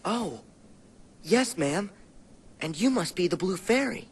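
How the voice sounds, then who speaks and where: A man voicing a spirited, good-hearted teenage boy hero in an animated video game, speaking earnestly. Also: sits high, slow pace, slightly rough, full character voice. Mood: calm, polite. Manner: earnest, eager. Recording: game music behind the voice.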